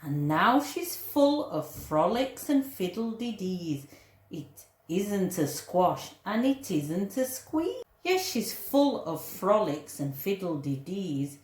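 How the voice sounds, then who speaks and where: Woman, children's storybook narration, slow, very lively intonation, echoey room. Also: mid-range voice. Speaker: teacher or school staff reading a story. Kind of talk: expressive children's storybook reading.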